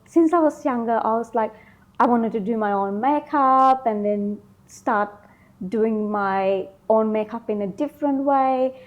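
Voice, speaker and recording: A woman from Sri Lanka, in her thirties, answering interview questions casually, often pausing with ums and likes. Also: on the high side. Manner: hesitant, many ums and likes. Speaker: interview guest, beauty business founder.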